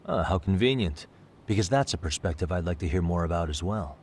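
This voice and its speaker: An actor playing a composed, persistent private detective, calmly and politely probing in a video game cutscene. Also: male voice, low-pitched, slight background noise. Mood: probing, measured. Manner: calm, measured, politely probing questioning. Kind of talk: English-dubbed video game cutscene acting.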